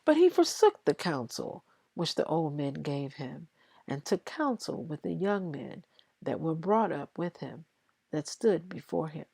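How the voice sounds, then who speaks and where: A woman reading scripture aloud in a deliberate, halting way, phrase by phrase. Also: low-pitched, sing-song, gravelly, dark tone, slightly rough, dry recording. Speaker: scripture reader.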